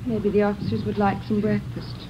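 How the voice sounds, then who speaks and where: A woman's voice: medium pitch, monotone, brisk, loud music behind the voice, close to an everyday voice. An actress playing a worried, distraught suburban mother in a 1950s radio drama, speaking fretfully and hesitantly. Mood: subdued worry. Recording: muffled, noisy old radio recording.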